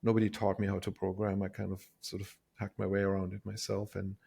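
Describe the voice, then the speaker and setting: A middle-aged man with a German accent speaks in a low voice. He talks casually and reflectively as a guest, circling back over his words in a rambling, self-deprecating way. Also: completely flat delivery, fairly quick, creaky, dark tone, slightly rough. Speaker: interview guest, tech company co-founder. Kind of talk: casual reflective interview talk.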